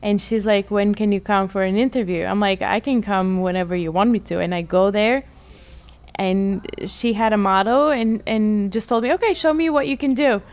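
A woman's voice: mid-range voice, normal speed, darkish tone. A woman in her thirties from Bulgaria, a guest telling her story in a casual interview and often acting out what people said. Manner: chatty, laughs often, acts out quoted people. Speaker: guest, lash technician.

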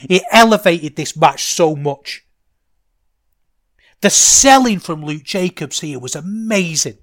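A middle-aged man with a Manchester accent, hosting a casual solo podcast, rambling and getting loud when excited. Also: a little high, takes it slowly. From England. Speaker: podcast host.

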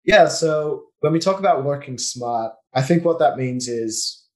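A man's voice: medium pitch, measured pace. Australian man chatting as podcast guest. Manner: relaxed, explanatory, frequent fillers and restarts. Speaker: podcast guest, business owner. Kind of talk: casual conversational interview.

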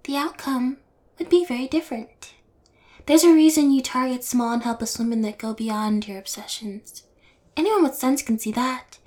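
A high-pitched young female convict played by a woman talks with mocking nonchalance. She is sarcastic, fearless and a little unhinged, in the manner of an intimate audio roleplay. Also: moderate pace, darkish tone, lightly acted. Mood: challenging.

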